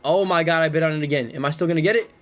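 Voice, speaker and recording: Young male gaming YouTuber, hoarse, high-voiced, slangy and hyped. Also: rapid-fire, faint music behind. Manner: energetic, slangy, keeps calling viewers bro and man. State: hoarse, lost voice. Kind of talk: casual gameplay commentary.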